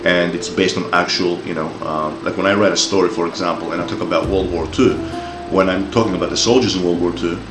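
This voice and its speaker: A deep, low-pitched man in his forties with a Greek-Australian accent, sounding off in a heated, profane rant. He stumbles and repeats words as he builds his case. His voice rings in an echoey room with music playing behind. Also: fairly even intonation, quick pace, hardly any pauses. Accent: Greek-Australian English. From Greece, raised in Australia. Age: 40s. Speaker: commentator. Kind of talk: opinion rant.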